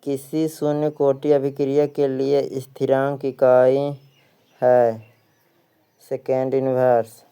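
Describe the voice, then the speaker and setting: Male Indian-accented exam tutor, unhurried and very smooth-voiced, reads practice questions and repeats the answers. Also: mid-range voice, monotone, mellow. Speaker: exam coaching teacher. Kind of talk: reading quiz questions and answers aloud.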